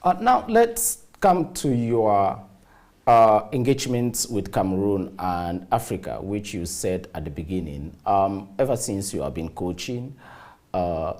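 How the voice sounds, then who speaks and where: A man's voice: fairly low pitch, lively intonation, measured pace, a little mellow. A man interviewing a guest, asking long, formally framed questions.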